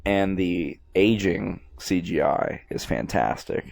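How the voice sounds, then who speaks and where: A man with a low-pitched voice hosts a casual movie reaction commentary. He talks informally and like a keen fan. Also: slow pace, gravelly, a little mellow.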